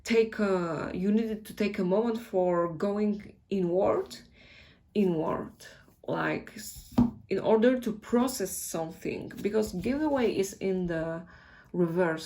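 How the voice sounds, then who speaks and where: A woman's voice: fairly low pitch, slow, vocal fry, a little mellow. A woman with a Polish accent, a native Polish speaker, giving a casual tarot reading in a hesitant way and often searching for words. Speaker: tarot reader.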